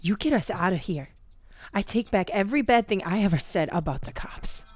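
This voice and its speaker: A woman's voice: mid-range voice, animated, fairly quick, darkish tone, soft background music, close to an everyday voice. An actress playing a bitter, suspicious captive woman in a radio play, confrontational and bitingly sarcastic. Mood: demanding. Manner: biting sarcasm, challenging questions.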